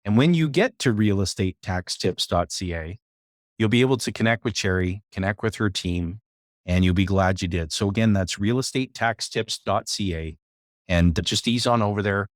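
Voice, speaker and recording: A man's voice: on the low side, normal speed, no echo, clean studio sound. A man co-hosting a podcast, upbeat and encouraging as he plugs the guest's site. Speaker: podcast co-host. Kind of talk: casual chat and show promotion.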